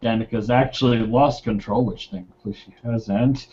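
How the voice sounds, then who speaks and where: Mid-pitched man roleplaying, dull audio. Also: fairly even intonation, moderate pace, dark tone, a little hiss or hum. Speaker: tabletop game player. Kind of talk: casual game play, describing actions.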